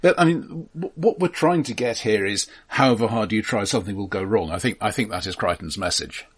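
Low-voiced, stammering, analytical man. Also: fairly quick, a bit bright, breathy edge. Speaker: film podcast co-host. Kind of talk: casual film discussion.

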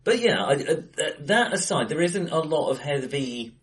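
Guy on a film podcast, thinks out loud and keeps correcting himself, bright echoey room. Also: on the high side, sing-song, normal speed. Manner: hesitant, thinking aloud, self-correcting. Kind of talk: casual film discussion. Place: acoustically bright room indoors.

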